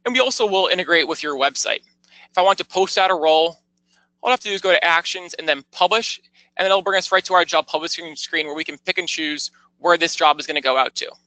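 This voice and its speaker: A man narrating a product tour as a software demonstrator, explaining things step by step in a conversational, informal way. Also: on the high side, dry recording, poor audio.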